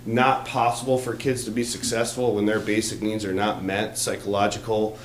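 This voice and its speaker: A man's voice: fairly low pitch, level tone, normal speed. A man speaking as a school superintendent, giving a plainspoken report to a township board. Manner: loud, plainspoken presenter. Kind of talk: public meeting presentation. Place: township board meeting room. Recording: echoey room with faint noise.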